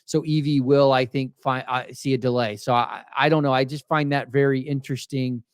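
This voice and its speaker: A man from Texas talks shop in a loose, animated podcast chat, often restarting his sentences. His voice sits in a middle register. The recording is close and dry, with no room sound. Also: mid-range voice, brisk, clean tone, no echo. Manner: animated, many restarts, acts out quoted voices. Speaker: podcast co-host. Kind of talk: casual industry chat.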